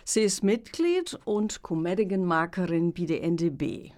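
Lively woman host with a Münsterland Low German accent. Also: a little slow. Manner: lively, laughs a lot, mixes Low and High German. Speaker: radio host. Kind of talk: casual radio interview. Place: radio studio.

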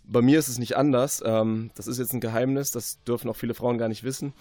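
A man speaking as an author in a radio interview, conversational and reflective, often pausing as he forms his sentences. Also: medium pitch, steady tone, warm tone, dry recording.

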